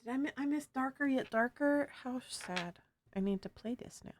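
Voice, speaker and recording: A feminine-voiced streamer chatting casually while drawing, giggling often and cracking silly jokes. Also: female voice, sits high, moderate pace, slight background noise. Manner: giggly, jokey, laughs often.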